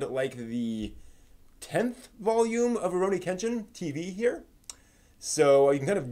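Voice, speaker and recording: A man with a moderately high-pitched voice hosts a casual live stream. He talks informally, rambling a little and thinking aloud, as if chatting directly with his viewers. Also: a little mellow. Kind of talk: casual live stream chat about anime news and giveaways.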